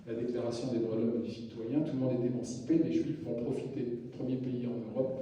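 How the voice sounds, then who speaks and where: A man's voice: medium pitch, little pitch movement, fast, dark tone, slight background noise. A man hosting a public lecture and giving a formal introduction of the guest, reading a list of titles with small restarts. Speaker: host introducing a guest speaker. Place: large lecture hall. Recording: echoey hall, mic picked up from a distance.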